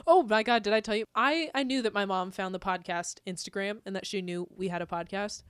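A young American woman with a mid-pitched voice, recorded dry and close. She chats as a podcast co-host in a loose, joking way and giggles through a lot of what she says. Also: a little fast, clean tone, no room sound. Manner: giggly, laughs often while talking. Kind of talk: casual comedic banter chat.

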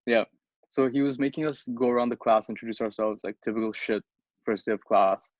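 A man's voice: mid-range voice, a little fast, a little mellow, dry recording, dull audio. A young man from Bangladesh co-hosting a podcast, telling a story in a rambling, casual way with lots of restarts and fillers. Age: young adult. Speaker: podcast co-host. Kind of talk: casual chat.

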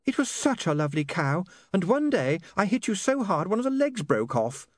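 A man's voice: sits high, huge pitch swings, moderate pace, darkish tone, no room sound, voice pushed up. A man voicing a prim, dreamy young woman reporter who can turn ferocious, in an audiobook.

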